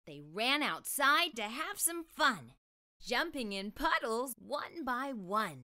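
Middle aged lady reading a kids book, kinda high bright voice, really expressive and takes her time. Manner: expressive, deliberate delivery for young children. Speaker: children's storytime host and reader. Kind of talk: read-aloud storytelling for children. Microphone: lapel mic.

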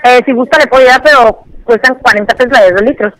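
A woman's voice: a little high, fast talker, a bit bright. A woman working at a pizzeria, taking a customer's order over the phone. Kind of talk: customer service phone conversation. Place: on a phone call. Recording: phone line through a show's speakers.

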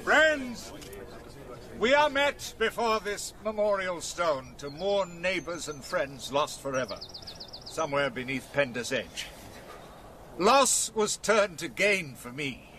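An actor playing a dignified elderly village gentleman giving a formal, oratorical address in a radio drama. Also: fairly high pitch, expressive, slow pace, pauses often, slight background noise, heavily acted. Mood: solemn, grateful. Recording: loud music under the voice.

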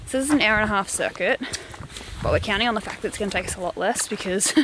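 A woman's voice: mid-range voice, rapid-fire. Young aussie woman vlogging on her phone outdoors, chatty, lots of likes, some background noise. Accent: Australian. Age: young adult. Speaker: travel vlogger. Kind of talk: casual travel vlog. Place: outdoors on forest and coastal walks, and in a car. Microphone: phone camera at arm's length.

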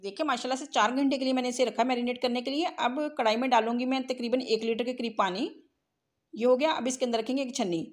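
A woman narrates a cooking tutorial as a home cook, explaining step by step in an instructional way. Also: fairly high pitch. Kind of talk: recipe tutorial voice-over.

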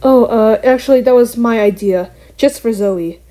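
A woman's voice: a little high, normal speed, dark tone, close to an everyday voice. A woman voicing an earnest, wary young bat heroine in an amateur cartoon, talking in a casual, hesitant way. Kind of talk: amateur animated voice acting.

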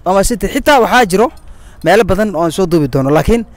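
A Somali man hosting a street vlog outdoors, speaking halting, broken English and Somali with a Somali accent. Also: high voice, animated, no echo. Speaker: vlogger host. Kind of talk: casual street vlog interviews. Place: outdoors at a city monument.

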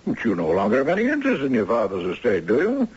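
An actor playing a wry, courtly retired judge in his late seventies in a 1970s radio drama, with a husky voice and a dry manner. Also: mid-range voice, wildly swooping pitch, fast talker, a little mellow, heavily acted. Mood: dry, lawyerly. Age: older. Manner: dry, courtly, given to chuckles and hums. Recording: dull 1970s radio recording.

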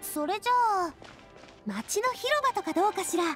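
A woman's voice: very high-pitched, very animated, moderate pace, music in the background. An actress playing a take-charge young lady adventurer with a feminine, friendly manner in an anime game. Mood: curious, upbeat.

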